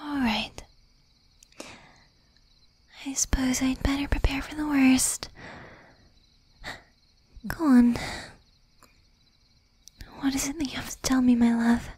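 A woman playing a tender, possessive young demon girlfriend in an affectionate, whispered ASMR roleplay, soft and breathy. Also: sits high, fairly even intonation, measured pace, pauses often, heavily acted. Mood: anxious, confused.

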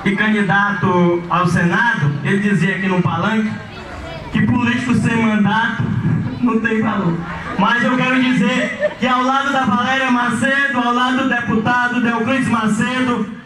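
Brazilian man from Maranhão, young, high and declamatory, street speech through an echoey PA, caught from afar. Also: flat delivery, moderate pace, crowd noise, noisy background. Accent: Brazilian Portuguese, from Maranhão. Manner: declamatory, often raising his voice. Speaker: local community leader giving a speech. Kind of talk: outdoor public speech at a community event. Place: outdoors at night on a street, crowd around. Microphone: handheld mic into a PA system. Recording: echoey PA sound from a far camera, loud ambience.

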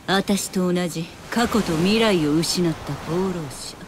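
A woman voicing a brash, menacing rock-guitarist witch in a video game, with rude, scornful, rough speech. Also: fairly low pitch, unhurried, close to an everyday voice. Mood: cold, scornful. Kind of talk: anime video game voice acting. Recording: noisy game ambience under voice.

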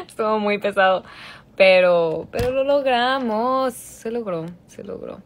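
Giggly young woman, slow talk. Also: a little high, lively intonation. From Mexico. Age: young adult. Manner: giggly, chatty, switches between English and Spanish. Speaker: singer answering fans on a livestream. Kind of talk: casual livestream chat. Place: large indoor studio room. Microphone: phone camera at arm's length.

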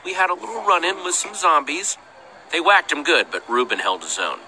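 A man's voice: a little high, lively intonation, fairly quick, pushed and bright, slight background noise, full character voice, poor audio. A man voicing an eager, good-natured young male hero in a video game, earnest, boyish and jokey. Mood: eager, upbeat. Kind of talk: animated game dialogue.

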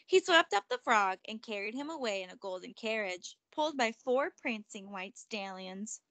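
A woman reading a children's picture book aloud as narrator, expressive and emphatic. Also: medium pitch, normal speed, no room sound. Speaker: storybook reader.

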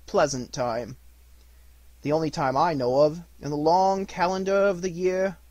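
A man voicing a cheerful, warm-hearted young nephew in a storybook reading, eager and earnest. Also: fairly high pitch, no echo, pitched above the performer's own voice, lightly acted, rough recording.

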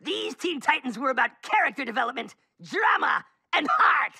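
A man's voice: extremely high pitch, sing-song, normal speed, creaky, sharp tone, a little hiss or hum, full character voice. A man voicing a pompous, bitter male couch-potato villain in a cartoon, ranting theatrically and scornfully. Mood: menacing, boastful. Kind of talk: animated cartoon acting.

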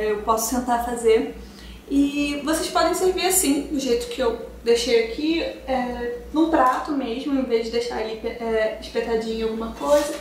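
A woman's voice: a little high, echoey room, a little hiss or hum. A young woman in her twenties from Brazil, chatting through a cooking tutorial in her home kitchen and explaining each step to her viewers. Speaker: cooking vlogger.

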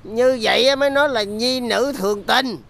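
A wheedling, tipsy drinking pal in a folk-tale film. His voice is very high, bright and creaky, full of fawning flattery. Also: normal speed, exaggerated voice. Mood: flattering, consoling. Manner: flattering, coaxing drinker's talk.

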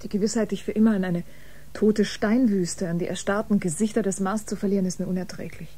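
Actress as husky, intense exiled woman musician. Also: mid-range voice, steady tone, mellow, natural voice. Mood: anguished. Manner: dramatic, breathy, deliberate. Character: proud, wounded woman biomusician exiled in space, intense. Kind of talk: German science fiction radio drama acting.

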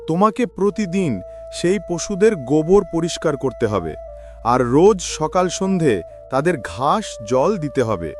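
A man voicing a wealthy village landowner in a moral-story cartoon, with a patronising, authoritative manner. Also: a little high. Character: wealthy village landowner employing a poor labourer. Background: background music.

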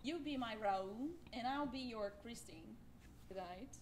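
A woman's voice: a little high, slow, slight background noise. A young woman in her twenties greeting her duet partner in a friendly, slightly nervous way, recorded on a cell phone.

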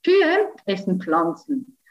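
A young woman from Switzerland with a Swiss German accent, presenting her research over a video call in a lively, playful way. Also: sits high, slow pace. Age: young adult. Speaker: science slam presenter. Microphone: video call, computer mic. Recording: roomy, distant, dull sound.

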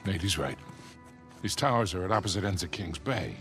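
An actor playing a teasing, worldly older mentor in a game cutscene, with music clearly behind. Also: male voice, low-pitched, lively intonation, a little fast, fairly bright tone. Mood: urgent. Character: gruff older male mentor, cigar-chewing veteran rogue. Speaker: adventurer. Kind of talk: video game cutscene acting.

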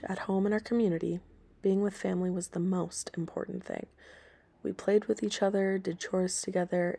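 A woman reading aloud scripted story and history narration for listeners in a measured, plain way. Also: on the low side, creaky, mellow, slightly rough. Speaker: storybook reader and history presenter.

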